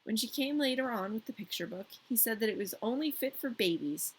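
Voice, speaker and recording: A woman's voice: a little high, expressive, fairly quick, breathy edge, poor audio. A woman reading a fairy tale as a storyteller, informal and playful with her listener. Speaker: storyteller, podcast host. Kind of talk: scripted fairy tale narration with casual asides.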